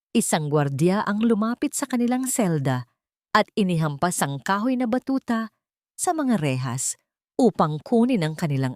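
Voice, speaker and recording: A woman narrates a dramatic audio story. Her voice sits a little low, and the sound is dry, with very little room. She reads in a measured, literary storytelling manner. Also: darkish tone, dry recording.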